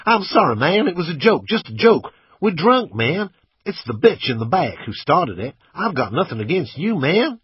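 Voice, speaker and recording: A man voicing a drunk young man in an audiobook, loud and slangy, swinging between cocky and frightened. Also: medium pitch, expressive, unhurried, breathy edge, no room sound, lo-fi sound, voice pushed up. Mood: panicked, pleading. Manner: loud, slangy, pleading when scared. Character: drunk young American man at wheel, cocky then terrified. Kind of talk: audiobook character acting.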